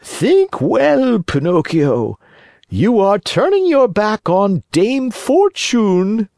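A storybook reader voicing a sly, scheming fox who coaxes slowly and smoothly. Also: medium pitch, sing-song, darkish tone, no echo, studio quality, pitched above the performer's own voice, exaggerated voice. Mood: coaxing. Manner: drawn-out, coaxing.

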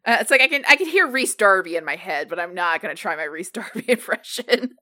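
A woman's voice: medium pitch, animated, a little fast, sharp tone. A woman co-hosting a casual fan podcast, joking and chatty with plenty of fillers. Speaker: podcast co-host.

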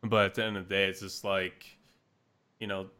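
A man's voice: low-pitched, flat delivery, normal speed, bright, forceful tone. A man co-hosting a podcast in casual chat, rambling with frequent hesitations. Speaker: podcast co-host.

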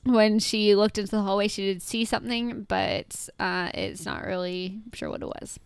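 Woman cohost reading ghost stuff, lots of ums, smooth clean voice, dry close mic. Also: medium pitch, steady tone, normal speed, dry recording. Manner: tells stories from notes with many ums and giggles. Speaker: podcast co-host. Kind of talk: casual chat, reading up on a haunting.

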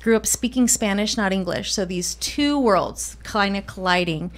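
A woman's voice: mid-range voice, normal speed. Reflective woman guest telling her story. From Colombia. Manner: reflective, with frequent fillers and restarts. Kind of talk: casual interview.

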